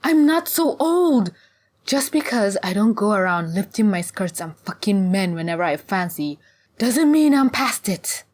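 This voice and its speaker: A woman voicing a cautious, diplomatic middle-aged tribeswoman in a fantasy audiobook, measured and motherly with some exasperation. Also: low voice, a little mellow. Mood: indignant.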